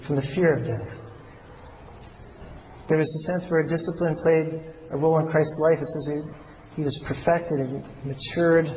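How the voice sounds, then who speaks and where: A man giving a personal, reflective sermon as a preacher, speaking haltingly and often rephrasing himself. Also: fairly high pitch. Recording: muffled, noisy recording in a reverberant hall.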